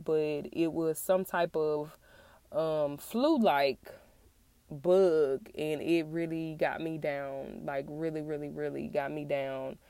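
A woman with a raspy, congested-sounding voice, getting over an illness, talks earnestly and haltingly in a personal monologue to her audience. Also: low voice, warm tone, dry recording. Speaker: podcast host and author.

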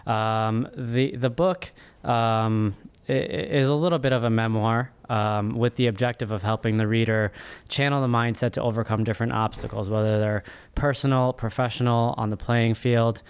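A man in his thirties with a smooth, clean voice at an average pitch answers as a podcast guest. He sounds earnest and measured, often halting between phrases as he tells his own story. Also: steady tone. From born in Egypt, immigrated as a small child. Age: 30s. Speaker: podcast guest, first-time author. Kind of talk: interview answers, personal storytelling.

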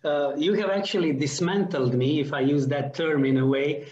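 A man's voice: fairly high pitch, fairly even intonation, moderate pace, darkish tone. Slovenian-accented male host, roomy lo-fi sound. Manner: earnest, slightly non-native phrasing. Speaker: podcast host. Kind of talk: interview host.

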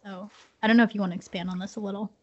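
A woman's voice: medium pitch, fairly even intonation, very fast, a little mellow, husky. A young woman co-hosting a casual conversation, speaking reflectively and candidly. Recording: dull lo-fi audio.

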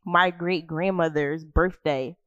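Smooth-voiced man in his thirties. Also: a little high, steady tone, takes it slowly, a bit bright, no echo, lo-fi sound. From Philadelphia. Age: 30s. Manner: storytelling with lots of likes and restarts. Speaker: studio co-founder, guest. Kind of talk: casual podcast conversation.

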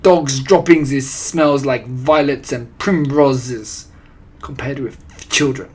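A tyrannical grand witch, acted out by a man for a storybook, husky and loud. She rants imperiously in broken English, thundering out orders with deliberate menace. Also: medium pitch, fairly even intonation. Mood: disgusted, screaming. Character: tyrannical, ranting female head witch with foreign grammar. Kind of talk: audiobook character voice.

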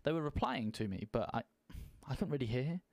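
A man co-hosting a casual chat about streaming, laughing often and getting loud when excited. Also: mid-range voice, fairly quick, vocal fry, crowd noise. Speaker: podcast co-host.

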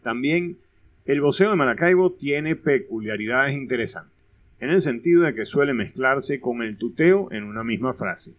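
A man with a Venezuelan accent giving scripted educational narration in a measured, explanatory way. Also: medium pitch, animated.

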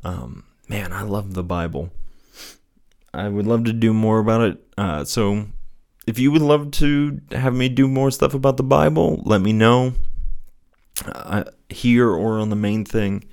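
A man with a soothing voice speaking in an earnest, gently encouraging way, as the host of a personal faith show. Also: on the low side, unhurried, vocal fry, smooth. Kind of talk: casual reflective solo talk with scripture reading.

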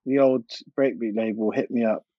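A man's voice: on the low side, steady tone, normal speed, husky, no room sound, dull audio. A man speaking as an interview guest in a casual, informal, slangy way. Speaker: DJ and music producer, interview guest.

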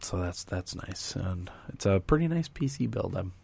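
A man hosting a casual gaming podcast, hoarse and raspy from a recently lost voice, rambling with many restarts. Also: low-pitched, expressive, normal speed, a little mellow. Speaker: podcast host.

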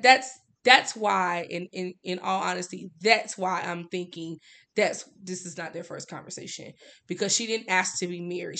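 A woman's voice: lowish voice, creaky, sharp tone. Candid, emphatic woman podcast host. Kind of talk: casual book discussion.

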